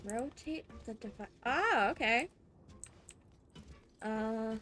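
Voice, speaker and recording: A woman with a high voice gives let's play commentary on a video game. She is expressive and reactive as she reads and comments. Faint game music runs underneath. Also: takes it slowly.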